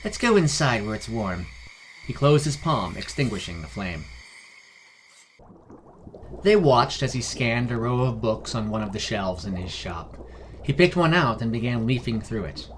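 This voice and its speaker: A man reads scripted fantasy narration. He is the storyteller of a podcast. A clear layer of noise runs behind his voice. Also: mid-range voice, sing-song, unhurried, pauses often, low-quality recording.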